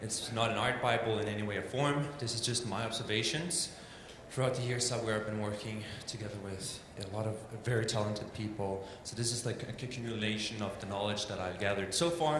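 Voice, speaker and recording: A man's voice: on the low side, fairly quick. Danish-accented man in an echoey hall. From Denmark. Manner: loose and chatty, many "like" and "um" fillers, self-deprecating. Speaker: illustrator presenting a talk. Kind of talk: informal presentation. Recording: echoey hall with steady background noise.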